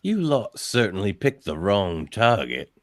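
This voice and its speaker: A man's voice: lowish voice, sing-song, a little slow. A man playing a cocky, sneering fire-wielding villain in a radio theatre read, theatrical and mocking.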